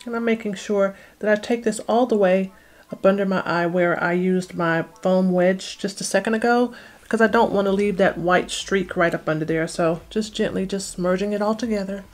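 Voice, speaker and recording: A woman's voice: mid-range voice, fairly even intonation, moderate pace, soft background music. A middle-aged woman casually talking viewers through a makeup tutorial step by step, in an explanatory way. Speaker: beauty vlogger.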